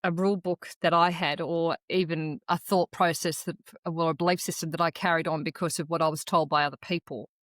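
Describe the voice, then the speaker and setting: A woman whose was Croatian, co-hosting a podcast and telling a personal story in an earnest, reflective way with halting restarts. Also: deep voice, fairly even intonation, fairly bright tone, no echo, clean studio sound. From Australia. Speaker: podcast co-host. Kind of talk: casual conversational podcast chat.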